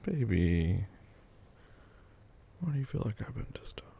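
A man whispers close and low in a comforting ASMR roleplay, every word tender and reassuring. His voice is heavily gravelly with deep fry, warm and mellow in tone, and stays hushed throughout. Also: big pitch swings, racing.

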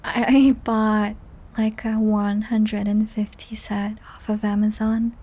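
A young woman hosting a whispered, ASMR-style chat podcast, rambling softly with giggles, her voice strained and cracked. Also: mid-range voice, level tone, slow pace. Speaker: podcast host and audio creator. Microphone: high-end studio microphone.

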